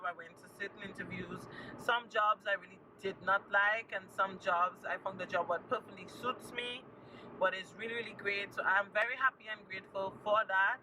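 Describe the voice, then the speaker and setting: A young woman from Trinidad and Tobago, chatting upbeat to camera and repeating words for emphasis as she gives encouraging advice. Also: medium pitch. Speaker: vlogger. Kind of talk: casual chat to camera. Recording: distant mic with loud background noise.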